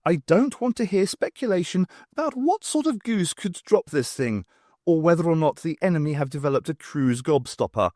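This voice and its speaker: A man voicing a brisk, stern woman adventurer in an audiobook, commanding and dryly exasperated. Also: fairly high pitch, animated, darkish tone, no room sound, studio quality, voice pushed up, close to an everyday voice. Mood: stern exasperation.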